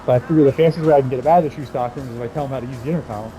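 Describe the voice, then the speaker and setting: A man's voice: mid-range voice, very fast, dark tone, soft background music, low-quality recording. A man telling stories casually over gameplay in a rambling, profane, amused way.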